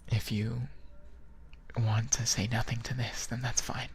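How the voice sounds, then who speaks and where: A man playing a shy, lovestruck friend in an ASMR boyfriend roleplay, speaking in a soft, breathy whisper with a hesitant, halting manner. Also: medium pitch, level tone, slight background noise, natural voice. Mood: vulnerable, confessing. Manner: hesitant, halting, gently awkward. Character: shy, lovestruck male friend confessing feelings.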